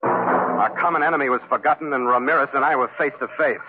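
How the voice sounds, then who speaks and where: Hard-boiled sea captain narrator, male actor, American, dramatic 1940s radio delivery, thin phone-like sound under loud score. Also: medium pitch, quick pace, close to an everyday voice. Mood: tense, grim. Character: tough, wry sea captain telling his adventure. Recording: tinny old radio broadcast, loud music behind.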